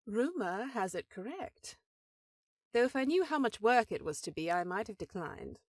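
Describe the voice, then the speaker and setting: A woman's voice: on the high side, sing-song, normal speed, no room sound. Composed, close-sounding woman voicing an elven sorceress sister. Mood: composed, wry. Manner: composed, slightly formal, gently teasing. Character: powerful elf sorceress sister, affectionate, teasing, dutiful headmistress. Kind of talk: scripted fantasy audio roleplay.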